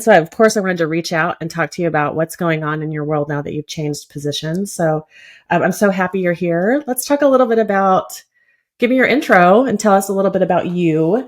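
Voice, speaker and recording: A woman hosting a podcast interview, chatting casually in a friendly, encouraging way. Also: on the low side. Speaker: podcast host.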